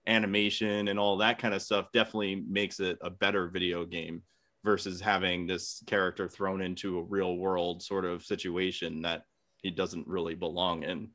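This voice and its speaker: Guy with a low voice giving his take in a casual podcast debate. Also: little pitch movement, normal speed, a bit bright.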